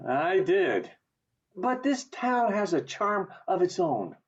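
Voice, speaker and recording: Muffled call audio carries a kindly, plain-spoken small-town man from a radio play, acted by a man. His voice is husky and folksy, with very animated pitch. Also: slow, exaggerated voice. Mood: warm concern. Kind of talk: community theatre radio play acting. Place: home, over video call.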